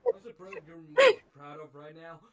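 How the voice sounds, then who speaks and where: A man's voice: extremely high pitch, huge pitch swings, normal speed, bright, forceful tone. A man who works as a voice actor, chatting casually on a panel and putting questions to the others.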